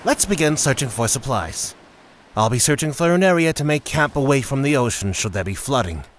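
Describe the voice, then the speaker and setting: A man acts out a shipwrecked warrior in a fantasy audio drama. He is commanding and pious, speaking formally and urgently with a very animated pitch over faint ambient noise. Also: a little fast, close to an everyday voice. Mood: urgent, resolute.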